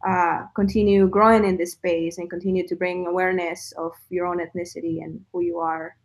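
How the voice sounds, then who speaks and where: A woman's voice: lowish voice, little pitch movement, brisk. Woman. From El Salvador. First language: Spanish. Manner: earnest, reflective, with frequent fillers. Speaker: guest sharing a faith story. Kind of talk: casual testimony chat.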